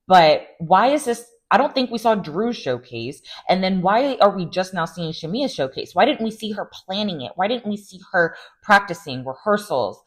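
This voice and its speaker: Low-voiced chatty woman recapping TV. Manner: chatty and opinionated, with sarcastic asides. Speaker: recap vlogger. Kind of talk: casual reality TV recap monologue.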